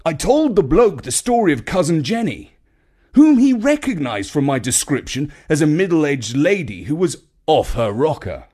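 A man's voice: fairly high pitch, sing-song, normal speed, mellow, slightly rough, pitched above the performer's own voice. A man voicing a breezy, self-assured uncle in a children's story, with a drawling, emphatic, chatty way of talking. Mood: confiding, triumphant. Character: breezy, charmed, self-assured younger or middle-aged uncle.

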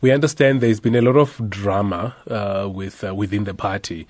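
A priest hosting a radio drive show, talking in a hoarse, croaky voice from a cold, upbeat and pausing often on fillers. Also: male voice, fairly low pitch, steady tone, darkish tone, no room sound. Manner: upbeat, with many filler pauses. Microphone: studio microphone.

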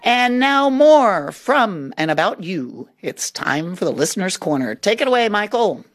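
Animated female radio host. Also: mid-range voice, measured pace, faint music behind. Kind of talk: chatty radio presenting.

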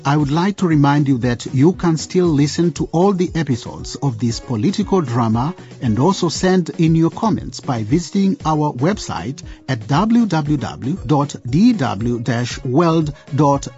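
A man's voice: a little high, warm tone, lo-fi sound. A man narrating a radio drama as a storyteller addressing listeners in scripted style.

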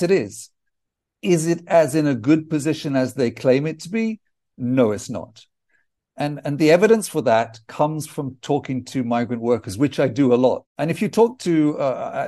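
A man who is a journalist guest on a podcast panel, explaining in a measured, deliberate way. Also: mid-range voice, dark tone.

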